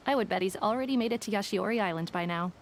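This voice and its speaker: A woman playing a composed, dutiful investigator in a video game, speaking in a measured, formal, matter-of-fact way. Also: mid-range voice, a little hiss or hum, natural voice. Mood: dismissive, assured. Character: composed, dutiful female investigator telling a cautionary tale. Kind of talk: scripted video game dialogue. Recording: game music behind the voice.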